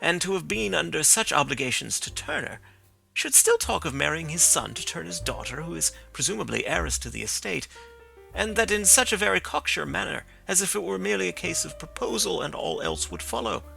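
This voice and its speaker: A man reading the part of a sharp, calm male detective who reasons things out in a measured, precise way. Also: fairly high pitch, gravelly, faint music behind, pitched above the performer's own voice, exaggerated voice. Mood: reasoning.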